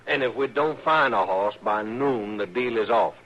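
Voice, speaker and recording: A man playing a gruff, bossy outlaw leader. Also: on the low side, normal speed, fairly bright tone, lightly acted. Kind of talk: 1950s radio drama acting. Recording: dull old radio broadcast, faint hiss.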